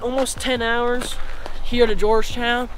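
A young man vlogging casually from a rented holiday home, talking in a relaxed, chatty way with laid-back slang. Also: extremely high pitch, unhurried, music in the background, poor audio. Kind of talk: casual travel vlog.

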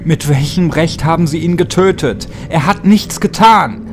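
A man's voice: a little high, measured pace, background noise, pitched above the performer's own voice, natural voice. A man voicing an anguished, hot-tempered young man in a dramatic horror audiobook. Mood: furious, desperate. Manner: emotional, dramatic. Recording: music behind the voice.

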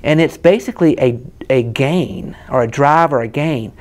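A man's voice: medium pitch, normal speed. A man in his thirties with a Southern US accent, casually telling stories and explaining a guitar in a shop. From South Carolina, USA. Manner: chatty storytelling, explaining gear hands-on. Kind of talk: casual gear demo chat. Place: guitar shop room with hanging guitars, indoors.

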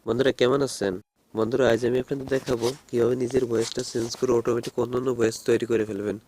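A man's voice: mid-range voice, level tone, very fast, a little mellow, a little hiss or hum. A man giving a casual phone tutorial, repetitively addressing his viewers as friends. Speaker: host of phone app tutorial videos. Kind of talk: casual screen-recorded tutorial.

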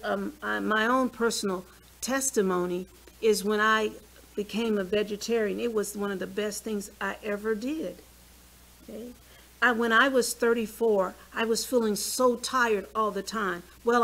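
A woman of sixty giving a health talk in a small church hall, chatting with the audience and asking them questions as she speaks from a podium mic. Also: mid-range voice. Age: 60s. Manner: lively, conversational, asks the audience questions.

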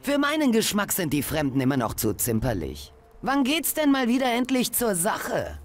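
High, swooping, impatient fighter. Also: male voice, huge pitch swings, normal speed, soft background music. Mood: restless, grumbling. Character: impatient, bloodthirsty male fighter. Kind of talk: video game voice acting.

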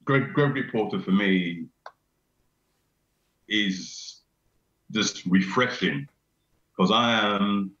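A middle-aged man with a deep bass voice, chatting casually as a guest on a video call, repeating himself and laughing often. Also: slow pace, long pauses, a bit bright. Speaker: venue owner, guest. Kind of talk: casual chat. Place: small room indoors. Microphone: video call webcam. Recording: roomy, dull video-call audio.

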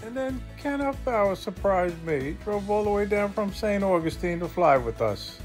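A man's voice: sits high, animated, normal speed, soft background music. A middle-aged man hosting an upbeat vlog, talking and narrating. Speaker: vlog host.

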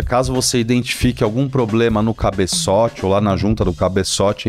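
A man's voice: mid-range voice, a little mellow. A man in his thirties from Brazil hosting a car question show, explaining to camera in a conversational way on a studio microphone. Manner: conversational explainer, occasional fillers and restarts. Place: studio set, indoors. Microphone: studio mic on stand in front.

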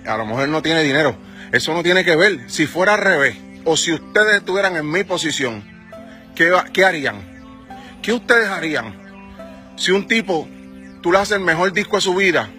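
A man's voice: fairly high pitch, expressive, normal speed, sharp tone, soft background music. Heated Puerto Rican man venting outdoors. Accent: Puerto Rican Spanish. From Puerto Rico. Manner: heated, confrontational, rhetorical questions. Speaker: music manager. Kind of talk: angry selfie-video rant. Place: outdoors on a sunny residential street. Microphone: phone at arm's length.

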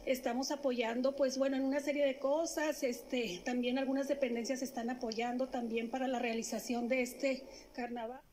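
A middle-aged woman, a city official, announcing an event at a press conference into a stand microphone, speaking haltingly with many fillers and restarts. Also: high-pitched, level tone, a little mellow, slight background noise. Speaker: municipal government official. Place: indoor press room.

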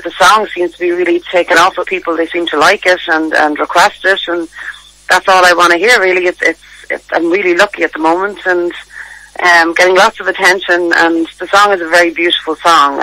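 An Irish woman with a low speaking voice, a singer chatting as a radio guest in a relaxed way and laughing often. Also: creaky, dry recording, low-quality recording. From Ireland. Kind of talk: radio interview.

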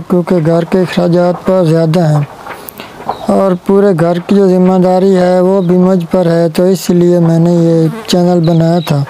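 Young man, Pakistani Urdu accent, dark flat earnest vlogger voice. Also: sits high, a little hiss or hum, poor audio. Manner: slow, earnest, pleading. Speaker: village vlogger. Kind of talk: direct-to-camera appeal. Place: outdoors in a sunny village courtyard. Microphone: lapel mic.

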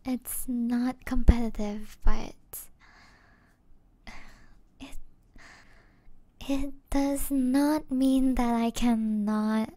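Woman doing a sweet flustered gamer girlfriend, asmr roleplay, soft mellow voice, close dry sound, murmury. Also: fairly high pitch, fairly even intonation, drawn-out words, long pauses, no room sound. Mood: flustered, coaxing. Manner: soft-spoken, hesitant, often drifting into breathy murmurs. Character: affectionate young gamer girlfriend, gently flustered.

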